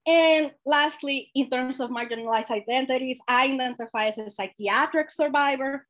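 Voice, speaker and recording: A woman gives an earnest presentation over a video call. Her voice sits a little high, and her delivery is animated and sincere, with fillers and soft laughs. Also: normal speed. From Dominican Republic. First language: Spanish. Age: late 20s. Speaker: guest speaker.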